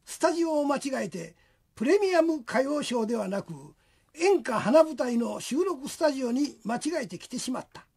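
A narrator of a scripted radio drama, husky, addressing listeners like a storytelling showman. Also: sits high, expressive, normal speed, a little mellow.

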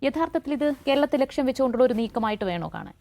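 Very rapid-talking Kerala woman hosting discussion. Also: high voice, animated, dark tone, no room sound. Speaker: host of a news discussion show. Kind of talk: posing questions in a current-affairs discussion.

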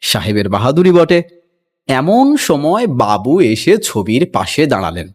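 A man giving an expressive story narration, as a recitation teacher demonstrating to a class. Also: mid-range voice, measured pace.

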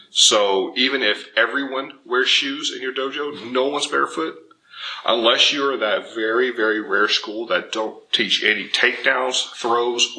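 A man in his thirties giving informal, animated, emphatic instruction to camera as a martial arts teacher. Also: medium pitch, a little hiss or hum, poor audio. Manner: animated, emphatic, acts out imagined objections. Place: indoor dojo with bare walls and wood floor.

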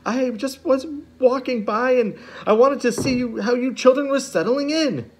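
A man's voice: very high voice, moderate pace, breathy edge, pitched above the performer's own voice. A man voicing a kindly, slightly flustered woman judge in a storybook reading. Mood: eager. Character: kindly middle-aged woman judge, warm and gentle.

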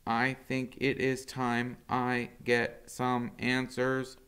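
A man voicing a calm female robot mother who speaks slowly and evenly. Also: mid-range voice, smooth, pitched above the performer's own voice. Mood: earnest. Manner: slow, even, carefully spoken.

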